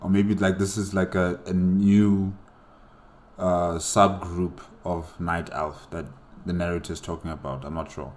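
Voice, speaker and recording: A man's voice: sits low, flat delivery, a little slow, darkish tone. A man hosting a casual solo reaction, explaining things in a hesitant, conversational way, with faint music behind. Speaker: reaction host.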